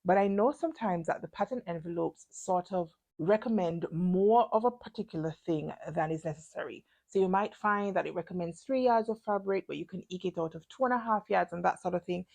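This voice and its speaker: A woman's voice: on the low side, brisk, creaky, few pauses, darkish tone. A woman talking casually through her sewing project in a chatty, self-deprecating vlog. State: stuffy and congested. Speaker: sewing vlogger.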